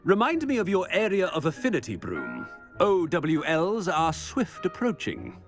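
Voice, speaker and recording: A haughty middle-aged British wizard headmaster in a video game. His voice sits a little high and leaps dramatically up and down. He is pompous and curt, with faint music behind him. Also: huge pitch swings, unhurried, exaggerated voice. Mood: condescending. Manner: pompous, brusque, theatrical. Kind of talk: theatrical video game acting.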